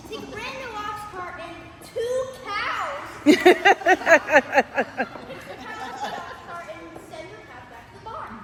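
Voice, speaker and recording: A woman giving an emphatic, theatrical dramatized recitation of scripture, declaiming it like a storyteller on stage. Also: extremely high pitch. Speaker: performer in a dramatized reading ensemble. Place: large reverberant performance hall, live recording. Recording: echoey noisy hall, far from the mic.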